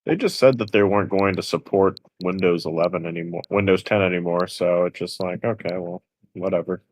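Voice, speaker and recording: A man's voice: low-pitched, fairly quick. A man co-commentating a casual live stream over voice chat, wry and sardonic.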